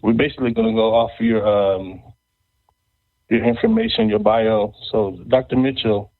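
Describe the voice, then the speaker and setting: A man hosting a casual interview show, speaking haltingly and often repeating words. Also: on the low side, animated. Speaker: podcast host. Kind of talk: casual interview and event announcements. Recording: thin phone-like, band-limited audio.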